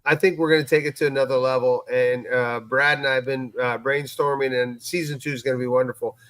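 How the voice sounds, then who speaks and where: A man's voice: medium pitch, steady tone, quick pace, fairly bright tone, dry recording. A man chatting casually on a podcast recap in an upbeat, encouraging way. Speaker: podcast guest.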